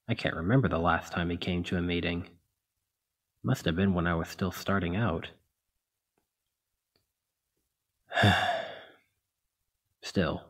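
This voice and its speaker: A man playing a gruff, protective demigod hero in an ASMR roleplay, scolding yet caring, with a husky voice. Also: low-pitched. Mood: brooding. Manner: exasperated, bossy, caring.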